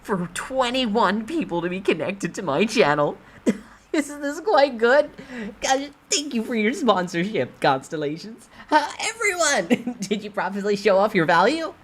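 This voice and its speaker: A gleeful little spirit showman, acted by a woman for a web novel reading. The voice is husky and swings widely in pitch, with a teasing, mocking, showy delivery. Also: sing-song, measured pace. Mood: smug delight.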